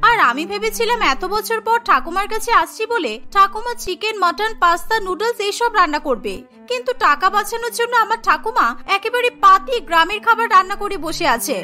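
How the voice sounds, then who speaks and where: A woman's voice: very high voice, expressive, a little fast, sharp tone, soft background music, full character voice. A woman voicing a spoiled, demanding teenage girl in a cartoon story, petulant, complaining and bossy. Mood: disgusted. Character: spoiled, demanding teenage city girl, food-obsessed granddaughter. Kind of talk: cartoon character acting.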